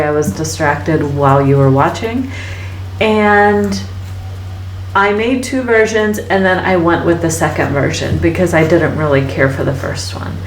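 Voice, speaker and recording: A woman's voice: lowish voice, recorded from a distance, a little hiss or hum. A woman artist hosting a painting vlog, talking casually and reflectively while she mixes paint in her studio, with lots of fillers and little tag questions. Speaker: artist and channel host. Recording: echoey room, voice off-mic.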